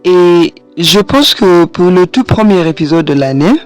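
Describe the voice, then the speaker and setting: A woman in her thirties hosting a solo podcast from a small studio, hoarse from a cold, speaking gently and encouragingly with hesitant pauses. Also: on the low side, expressive, faint music behind. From Kenya. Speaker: podcast host and French teacher. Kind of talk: solo podcast monologue addressing the listener. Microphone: studio microphone.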